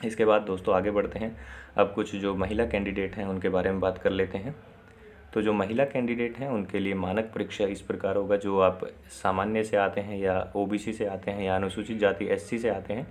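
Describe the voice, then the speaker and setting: A man giving an informal instructional explainer, addressing viewers directly and often pausing on short confirmations. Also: fairly low pitch, fairly even intonation, a little hiss or hum. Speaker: presenter of an exam and job information video.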